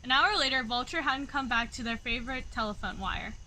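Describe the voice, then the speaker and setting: A woman narrates a picture book for young children in an expressive storytelling style. Her voice is high and bright. Clear noise sits behind the reading. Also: brisk, rough recording. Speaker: read-aloud storyteller.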